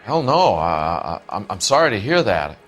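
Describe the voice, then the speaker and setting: A wary loner in a dramatic detective game. His voice is on the low side with lively swings in pitch. He answers evasively, stumbling over words. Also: soft background music. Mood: guarded, uneasy. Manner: evasive, halting. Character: guarded, hesitant male barroom loner. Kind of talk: dramatic video game dialogue.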